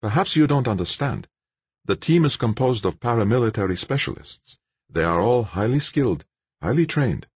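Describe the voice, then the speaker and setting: A man voicing a polite but firm businessman in a novel, formal and measured as he explains himself. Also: mid-range voice, very animated, slightly rough, no room sound, pitched above the performer's own voice. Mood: politely firm.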